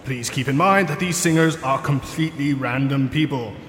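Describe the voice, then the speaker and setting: A man's voice: medium pitch, moderate pace, fairly bright tone, a little hiss or hum. A man reading a mock-solemn comedy announcement in a formal, tongue-in-cheek announcer's manner. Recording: echoey hall reverb, music behind.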